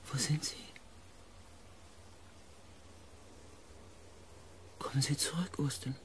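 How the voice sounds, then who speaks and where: An actor playing a shaken space expedition member in a radio drama, with a husky, breathy voice and a hushed, halting manner. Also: male voice, medium pitch, steady tone, whispered, natural voice. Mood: worried, pleading. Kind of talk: radio drama narration and acting.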